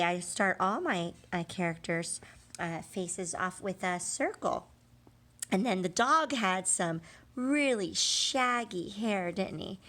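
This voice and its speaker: A woman teaching young children in a read-aloud lesson, asking questions, pausing and drawing out key words for emphasis. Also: mid-range voice, fairly bright tone. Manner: prompts with questions, pauses, affirms answers, drawn-out emphasis on key words. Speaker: early literacy teacher.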